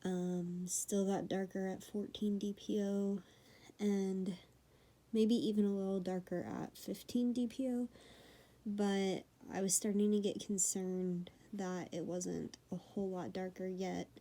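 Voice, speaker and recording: A woman with a high, girlish, nasal voice from a stuffed-up nose, talking casually to camera with many fillers and apologies. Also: fairly even intonation, measured pace, mellow. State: congested from sneezing. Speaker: vlogger. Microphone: phone.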